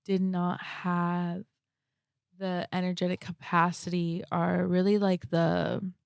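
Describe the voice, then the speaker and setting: A young woman talking reflectively and casually as a podcast guest, halting often and peppering her speech with "like". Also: fairly low pitch, level tone, creaky, clean tone, no room sound, clean studio sound. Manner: halting, frequent "like" as verbal filler.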